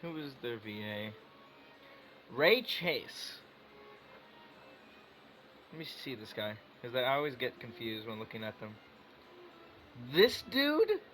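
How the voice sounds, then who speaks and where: A man's voice: a little high, a bit bright, rough recording. Casual, sweary male game streamer. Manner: sweary, teasing, rambling. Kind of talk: casual game reaction commentary.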